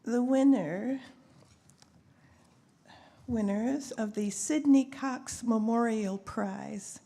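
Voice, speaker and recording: Slow-paced woman presenting awards, slightly gravelly. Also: a little high, warm tone. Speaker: poet. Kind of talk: informal ceremony presenting. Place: live awards ceremony. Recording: faint background noise, live event microphone.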